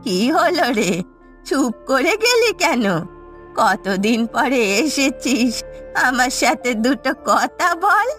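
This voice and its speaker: A woman's voice: sits high, very animated, slow, a bit bright, soft background music, exaggerated voice. A woman voicing a fond, trusting old village grandmother in a cartoon, fussing in a homely way. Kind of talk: Bengali cartoon voice acting.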